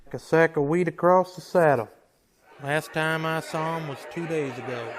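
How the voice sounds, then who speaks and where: An actor playing a weary, commanding Old West marshal, terse and authoritative, in a western radio drama. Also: male voice, fairly high pitch, normal speed, a crowd in the background, lightly acted. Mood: weary, brooding.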